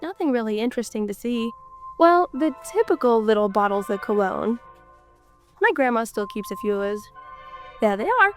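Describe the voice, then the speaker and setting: Congested woman giving an excited house tour. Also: fairly high pitch, normal speed, faint music behind. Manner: chatty, exclaims often in amazement. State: congested from a cold. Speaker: urban explorer vlogger. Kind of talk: casual guided tour narration. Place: abandoned house, indoors.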